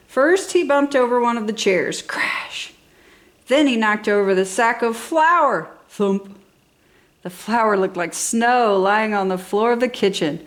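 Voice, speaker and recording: A woman's voice: medium pitch, vocal fry. A woman reading a children's storybook with enthusiastic, exaggerated emphasis for young listeners. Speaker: storybook reader for a toddler channel. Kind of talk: expressive scripted children's story narration.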